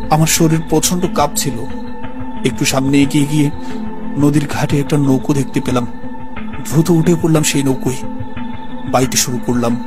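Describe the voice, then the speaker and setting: A man's voice: on the high side, fairly even intonation, moderate pace, pauses often, music in the background. A man narrating a scripted horror story in the first person.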